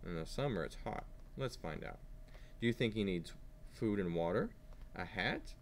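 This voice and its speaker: A man teaching kindergarteners with an animated read-aloud, asking simple questions as he goes. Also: lowish voice, normal speed, slight background noise. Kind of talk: read-aloud lesson for young children.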